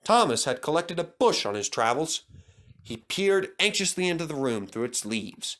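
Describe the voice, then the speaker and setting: A man's voice: fairly high pitch, sing-song, moderate pace, fairly bright tone. A man narrating a scripted children's story as the storyteller.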